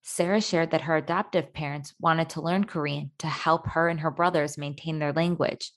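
A woman's voice: sits low, fairly even intonation, normal speed. A woman reading scripted documentary narration about other people's personal stories.

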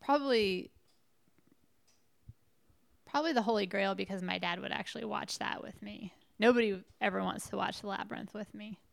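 A woman co-hosting a casual chat, giggly and teasing, answering questions in a relaxed way. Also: medium pitch, normal speed, gravelly, long pauses.

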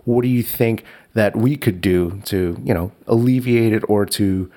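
A man with a fairly low voice hosts a relaxed chat. He tells stories with lots of energy, laughs often, and trips over his words as he restarts his sentences. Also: a little slow. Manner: laughs often, animated storytelling with repeated restarts. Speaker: podcast host. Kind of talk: casual conversation.

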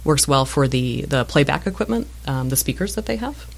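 A woman in her thirties with a low voice, answering interview questions in a relaxed, thoughtful way with frequent fillers and restarts. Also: brisk, gravelly. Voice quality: low-pitched voice. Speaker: museum voice-over artist and guest.

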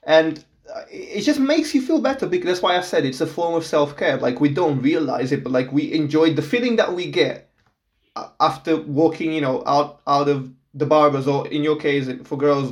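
A young man from Portugal talks in an easygoing, chatty way. He laughs often and repeats his first words before he gets going. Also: fairly high pitch. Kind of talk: casual chat.

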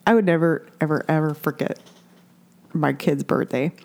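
A woman's voice: low voice, moderate pace, vocal fry, a little mellow. An American woman in her forties chatting casually and candidly as a co-host, with some swearing. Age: 40s. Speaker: podcast co-host. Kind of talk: casual chat.

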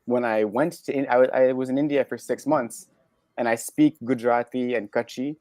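A man's voice: mid-range voice, steady tone, moderate pace, darkish tone, no room sound. Canadian man, close dry sound. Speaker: podcast guest. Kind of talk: candid personal storytelling in conversation.

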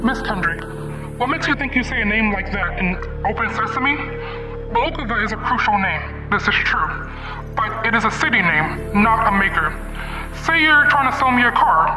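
High-pitched, shady wisecracking dealer. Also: male voice, animated, normal speed. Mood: menacing, smug. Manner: wisecracking, slangy, boastful. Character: shady, domineering, fast-talking male instrument dealer. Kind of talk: old-time radio mystery acting. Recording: roomy, distant, music underneath.

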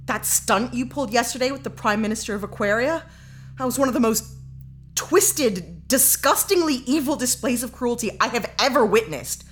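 An actress plays a reluctant female hero from a sci-fi radio play, high in pitch and very animated. She is blunt and outspoken, an earnest investigator who bristles with indignation. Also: moderate pace, a little hiss or hum, lightly acted. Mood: appalled, confused. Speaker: talk-show guest character. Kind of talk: sci-fi audio drama acting.

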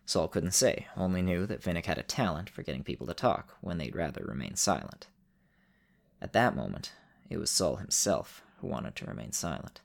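A man with a creaky voice, speaking as the storyteller and host of an audio drama show. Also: sits low. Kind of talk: narration and casual host talk.

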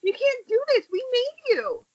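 A woman's voice: very high-pitched, normal speed, darkish tone, no room sound. A woman playing wronged, indignant actresses in an amateur table read over an internet call. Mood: anguished, pleading. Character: angry, betrayed actresses, a jealous woman and a waitress. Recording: muffled internet call audio.

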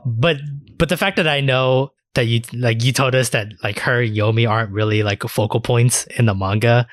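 A man chats as a podcast co-host in an informal, loose way. His pitch sits in the middle for a man, and the sound is dry, with hardly any room on it. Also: steady tone, normal speed, no echo. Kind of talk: casual chat.

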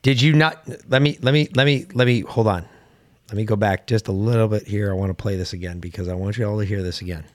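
A man's voice: fairly low pitch, fast. Heated, interrupting male podcast host. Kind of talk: opinionated political talk.

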